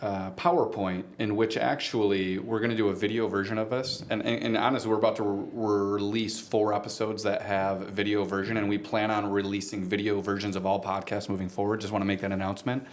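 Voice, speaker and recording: Low-voiced man hosting a podcast. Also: flat delivery, brisk, hardly any pauses, slight background noise. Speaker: podcast co-host and golf business co-founder.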